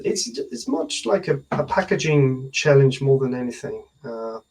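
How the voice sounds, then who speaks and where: Hesitant man in his thirties, medium pitch. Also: darkish tone, poor audio. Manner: hesitant, pausing mid-sentence to think. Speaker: loudspeaker research engineer, interview guest. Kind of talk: technical interview explanation. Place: indoor room at home, on a video call. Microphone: video call.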